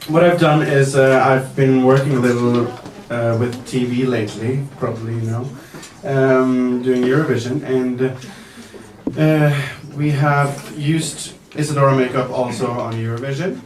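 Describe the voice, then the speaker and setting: A man working as a makeup artist, giving a live demonstration to the press at an indoor event, talking slowly and hesitantly with many fillers and the odd joke. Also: medium pitch, steady tone. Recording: echoey room, mic far off, faint noise.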